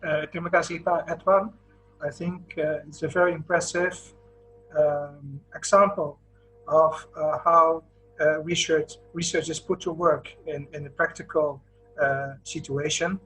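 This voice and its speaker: Guy hosting a webinar, voice a little high, careful hesitant phrasing, semi formal. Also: fairly even intonation, measured pace, sharp tone, soft background music, rough recording. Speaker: webinar moderator.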